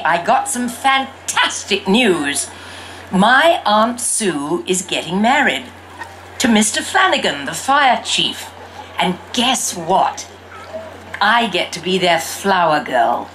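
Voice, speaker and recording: A woman reading a storybook in the first person as an exuberant young girl who fancies herself a fairy princess, with theatrical, drawn-out emphasis. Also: fairly low pitch, fairly bright tone, slight background noise, heavily acted, rough recording.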